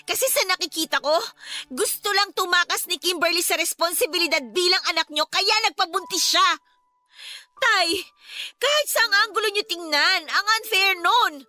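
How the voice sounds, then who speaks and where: High-voiced fiery Filipino daughter. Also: female voice, normal speed, sharp tone, exaggerated voice. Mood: indignant, frustrated. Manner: emphatic, respectful but blunt. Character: outspoken, fiery grown daughter of a poor family. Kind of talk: Filipino radio drama acting. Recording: studio drama with music behind.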